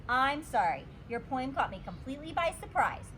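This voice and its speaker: A measured school principal from a storybook voiced by a woman, stern but kindly. He admonishes deliberately, with expressive rises and falls. Faint noise sits behind the voice. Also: high-pitched, pitched above the performer's own voice. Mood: admonishing. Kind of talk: children's storybook character reading.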